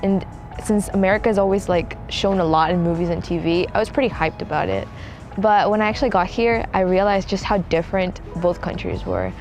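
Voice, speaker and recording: A woman's voice: fairly low pitch, lively intonation, a little fast, a little mellow, soft background music. Casual woman, lots of "like". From Ecuador. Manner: casual, youthful, uses "like" a lot. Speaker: documentary interviewee. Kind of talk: casual personal storytelling.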